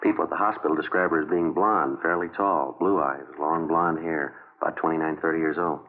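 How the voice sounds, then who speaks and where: Low-voiced, deadpan police detective. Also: male voice, brisk, background noise. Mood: businesslike, puzzled. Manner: terse, matter-of-fact, deadpan. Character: calm, businesslike male police detective sergeant. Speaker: narrator and lead actor. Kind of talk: 1950s radio police drama acting. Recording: muffled old radio broadcast with music.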